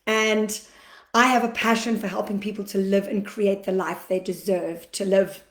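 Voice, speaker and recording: A woman from Australia hosting a live question-and-answer session as an acupuncturist, chatty, greeting viewers as they join, her voice a little croaky and clearing her throat now and then. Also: medium pitch, flat delivery, moderate pace. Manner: chatty, warm greetings to viewers, many fillers. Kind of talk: casual livestream talk. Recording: echoey room livestream.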